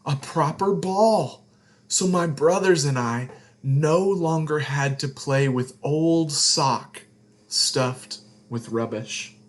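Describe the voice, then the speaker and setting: Guy reading a kids book out loud, really slow and deliberate, voice a bit on the high side. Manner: deliberate phrasing, emphatic, explains words to young listeners. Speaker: children's read-along host. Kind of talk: expressive storybook read-aloud for children.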